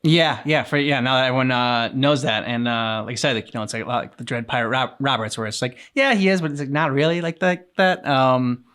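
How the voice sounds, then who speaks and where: A man's voice: mid-range voice, fairly quick, hardly any pauses, fairly bright tone, smooth. A young adult man co-hosting a reaction show, talking in a loose, rambling way with many fillers. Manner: rambling, lots of fillers, laid-back. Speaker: reaction channel co-host. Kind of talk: casual reaction chat.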